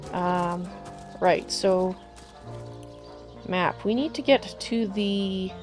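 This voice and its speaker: A woman streaming a video game live, slightly hoarse from a cold, chatting with viewers and thinking aloud as she plays. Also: medium pitch, very slow, darkish tone, soft background music. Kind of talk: casual live gameplay commentary.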